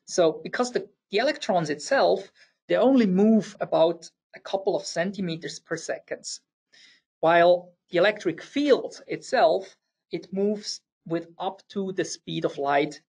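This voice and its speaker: A man's voice: sits high, vocal fry, darkish tone, slightly rough. A man with a Swiss German accent presenting a technical engineering webinar, explaining step by step with occasional fillers. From Switzerland. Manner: explanatory, slightly halting with fillers and restarts.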